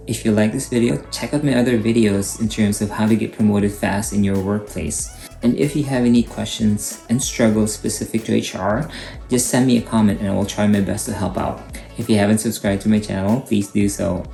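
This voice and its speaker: A middle-aged Filipino man with a Filipino accent, hosting a channel and calmly explaining advice to camera. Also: on the low side, brisk, vocal fry. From Philippines. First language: Cebuano. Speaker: YouTube host, HR coach. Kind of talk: scripted advice talk to camera. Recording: echoey room with faint guitar music.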